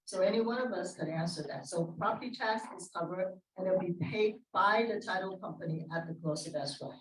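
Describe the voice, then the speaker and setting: A woman's voice: lowish voice, a little fast. A woman answering questions on a panel as a title officer, direct and emphatic. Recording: echoey room, distant mic.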